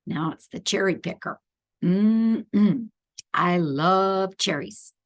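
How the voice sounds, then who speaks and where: A woman reading a children's picture book aloud expressively, with deliberate emphasis and playful little asides to the young listener. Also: mid-range voice.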